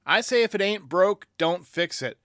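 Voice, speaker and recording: A man's voice: sits high, animated, moderate pace, fairly bright tone, dry recording, studio quality. A man hosting a sports podcast, giving commentary in reply to fans. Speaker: podcast host. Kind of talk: sports commentary answering fan voicemails.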